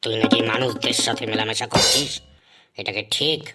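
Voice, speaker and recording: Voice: medium pitch, steady tone, loud music behind the voice, natural voice. A performer voicing a stern, weary guru who rules the ghosts in a Bengali horror comedy cartoon. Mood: stern, exasperated. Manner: stern, exasperated, drawn-out calls.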